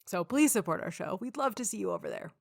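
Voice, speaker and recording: A woman's voice: medium pitch, wildly swooping pitch, quick pace, creaky, no room sound, clean studio sound. A woman with a Canadian accent hosting a podcast, friendly and dryly joking. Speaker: podcast host. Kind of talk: casual podcast chat.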